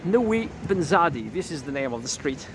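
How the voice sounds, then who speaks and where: A man with a fairly high voice narrates a relaxed walking tour outdoors, stopping and restarting often with plenty of fillers. He talks into a camera mic as he walks. Street noise and passing traffic are clearly heard behind him. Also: moderate pace. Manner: hesitant, many fillers and restarts. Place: quiet residential street outdoors. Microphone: handheld camera mic while walking.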